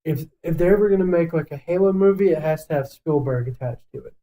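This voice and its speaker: A man's voice: fairly high pitch, fast talker, mellow, rough recording. A man co-hosting a casual podcast, talking in a blunt, foul-mouthed and emphatic way as he gives his opinions. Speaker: podcast co-host. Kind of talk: casual podcast chat, ranking list.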